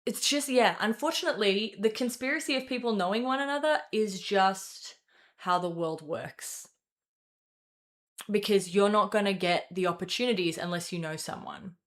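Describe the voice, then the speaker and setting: A young woman hosting a casual political commentary show, talking in a rambling way with swearing and quick self-corrections. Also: mid-range voice, fairly bright tone. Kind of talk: casual opinion commentary to camera.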